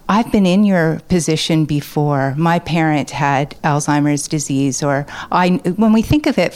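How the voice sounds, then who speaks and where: A woman's voice: deep voice, darkish tone, clear, resonant tone. A woman explaining things as a panel guest, conversational, often voicing example remarks as she goes.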